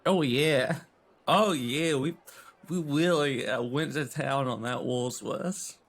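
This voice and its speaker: A man's voice: mid-range voice, slow, a bit bright. A man with a British accent chatting casually as a player in a tabletop game.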